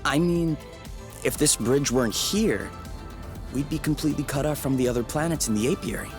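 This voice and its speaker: A man's voice: on the high side, fairly quick, music in the background, lightly acted. A man playing an easygoing, reassuring young man in a video game, speaking casually and earnestly with a tentative, hopeful touch. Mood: thoughtful. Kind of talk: video game cutscene acting.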